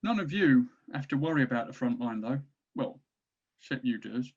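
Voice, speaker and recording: A man's voice: mid-range voice, lively intonation, moderate pace, breathy edge. The audio is a little dull and boxed in. A man acts out a weary orc war band leader with a mid-range voice, rallying his crew in a comic fantasy read-through. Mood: grave, resolute. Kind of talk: fantasy comedy table read.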